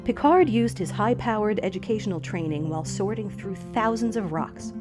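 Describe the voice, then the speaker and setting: A woman's voice: mid-range voice, normal speed. A woman reading scripted narration for an educational video, speaking directly to young listeners. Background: background music.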